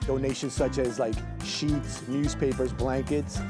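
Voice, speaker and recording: Man being interviewed over loud music. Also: mid-range voice, steady tone, measured pace. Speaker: public information officer, interviewee. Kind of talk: informal explanatory interview.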